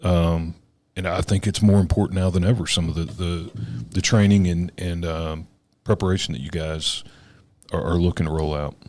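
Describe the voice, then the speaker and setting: A man's voice: deep voice, fairly even intonation, moderate pace, darkish tone. A man co-hosting a podcast, a police officer speaking in an earnest, emphatic way. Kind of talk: casual podcast conversation.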